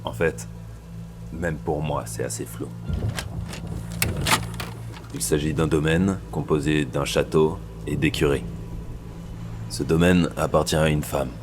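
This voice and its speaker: Man acting a very deep-voiced, measured police boss. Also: steady tone. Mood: grave, confiding. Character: paternal male police boss briefing his agent. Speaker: police superior. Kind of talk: French audio drama thriller acting. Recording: background music under the voice.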